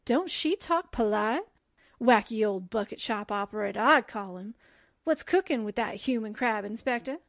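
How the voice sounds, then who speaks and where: A woman playing an excitable, slangy young newspaper assistant in a breezy, dramatic 1940s radio drama style. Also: on the high side, normal speed, no room sound, studio quality. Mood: wisecracking.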